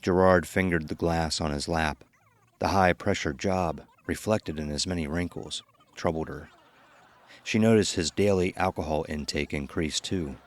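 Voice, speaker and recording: Very deep male horror story narrator. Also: moderate pace.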